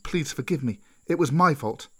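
A man's voice: on the high side, lively intonation, moderate pace, breathy edge. A man voicing a bold, fervent young man raised by wolves in a children's storybook. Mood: apologetic, flattering.

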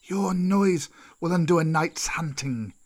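Ancient, grudging giant python for a storybook, performed by a man; measured, drawn-out speech, wise. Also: fairly high pitch, pitched above the performer's own voice, natural voice. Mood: irritated, scolding. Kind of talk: children's storybook character voice.

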